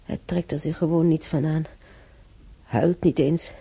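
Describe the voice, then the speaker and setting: An actress playing a regretful old mother in a radio drama, halting and brooding, with a husky, creaky voice. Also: sits low, fairly even intonation, warm tone, no room sound, exaggerated voice. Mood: regretful, musing. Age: older. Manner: halting, brooding, repeating herself. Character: regretful old mother remembering beating her stubborn son.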